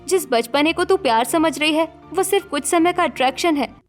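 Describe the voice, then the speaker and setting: A woman's voice: high-pitched, normal speed, soft background music, lightly acted. A woman voicing a sensible, protective young married elder sister in a cartoon story, firm and admonishing. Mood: firm, advising. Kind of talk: Hindi cartoon story dialogue acting.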